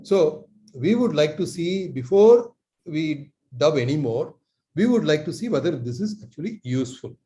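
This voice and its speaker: A man's voice: fairly high pitch, very animated, dark tone. Earnest Indian man on a video call. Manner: earnest, many filler pauses. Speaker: lecturer. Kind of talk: online meeting talk.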